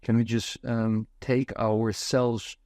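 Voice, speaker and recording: A man interviewing a poet, asking measured questions with frequent fillers between phrases. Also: medium pitch, level tone, darkish tone, dry recording, clean studio sound.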